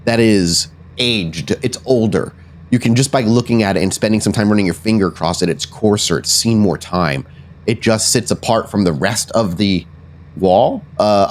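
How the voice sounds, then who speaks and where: A man acting as game master, improvising descriptive narration for a tabletop game in a conversational way. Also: lowish voice.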